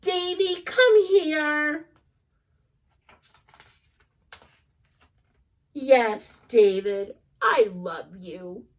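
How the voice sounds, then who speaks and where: A woman voicing a stern mother who scolds her little boy with loud, firm commands. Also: high voice, extremely slow. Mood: tender.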